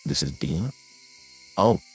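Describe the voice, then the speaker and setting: A woman filtered into a man with a lowered, processed voice of middle pitch. He speaks slowly and sounds amused, with soft music behind. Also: animated, husky, exaggerated voice. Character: grown man. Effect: lowered voice-changer filter.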